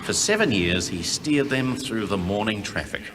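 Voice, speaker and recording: Very deep, expressive Australian man, reverberant. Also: normal speed, noisy background. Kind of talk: scripted tribute narration. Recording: long reverberant hall sound.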